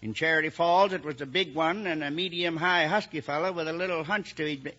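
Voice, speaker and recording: An actor playing a downhearted old lawman in a western radio drama, with a halting, folksy drawl. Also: a little high, bright, forceful tone. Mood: pondering. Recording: dull vintage radio recording.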